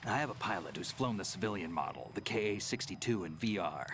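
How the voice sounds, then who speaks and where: A calm, gruff soldier in a game cutscene, who gives clipped, matter-of-fact orders. His voice sits low, with a faint hiss behind it. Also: fairly quick. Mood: calm, terse. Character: gruff male soldier ally, calm and terse. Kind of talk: video game cutscene acting.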